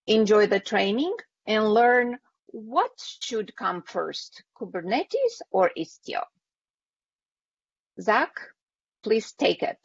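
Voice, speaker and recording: A woman with a gravelly, husky voice reads a formal introduction as a webinar moderator. Her audio is dry and dull, like a video call. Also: mid-range voice, sing-song, slow, long pauses, no room sound. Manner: formal and scripted. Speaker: event moderator. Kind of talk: reading an introduction.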